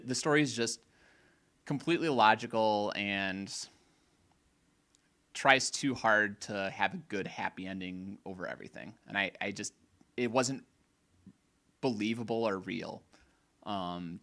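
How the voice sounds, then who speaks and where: A man co-hosting a casual gaming podcast, opinionated and rambling, a little hoarse, often restarting his sentences. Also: on the low side, pushed and bright. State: slightly hoarse. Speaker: podcast co-host.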